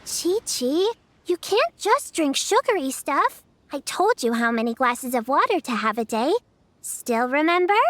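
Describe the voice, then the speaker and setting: A woman voicing a caring, responsible little girl in a video game, gentle and patient as she speaks. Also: sits high, sing-song, unhurried, no echo, heavily acted. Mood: gently caring. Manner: gentle, sweet, patiently explaining. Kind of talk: video game character acting.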